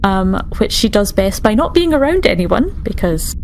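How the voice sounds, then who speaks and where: A woman of medium pitch describes roleplay actions casually, thinking as she goes. Her voice has a marked vocal fry and her delivery halts, with faint noise in the recording. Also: sing-song, a little mellow. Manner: thoughtful, halting delivery. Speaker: tabletop game player.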